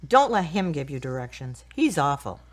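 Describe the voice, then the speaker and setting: A woman's voice: low-pitched, very animated, moderate pace. A woman playing a candid, teasing grown sister in an audio drama.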